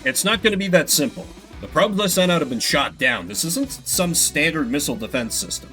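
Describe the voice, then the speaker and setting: A man voicing a calm whiskered animal handler. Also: a little high, soft background music. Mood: grave. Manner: measured, warning briefing tone.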